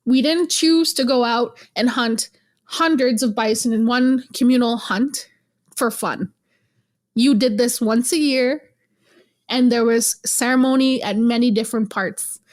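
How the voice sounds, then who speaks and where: Young canadian woman, chatty and teasing, laughs a lot, kinda high voice. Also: unhurried. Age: young adult. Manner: lively storyteller, laughs often, teasing. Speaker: guest, interpretive guide. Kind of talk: casual interview.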